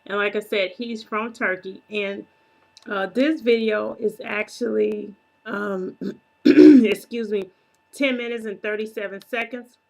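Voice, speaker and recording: A woman talks slowly and casually to her viewers while she walks them through her screen. She often stops to clear her throat, pauses on fillers and corrects herself as she goes. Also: mid-range voice, fairly even intonation, darkish tone, low-quality recording. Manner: unhurried, self-correcting, conversational. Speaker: prophetic minister and video channel host.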